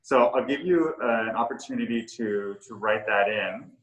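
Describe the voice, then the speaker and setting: A middle-aged man, the co-founder of a coworking space, talking casually and haltingly with many fillers and restarts. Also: lowish voice, fairly bright tone. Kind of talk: casual talk on a virtual tour. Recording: echoey room.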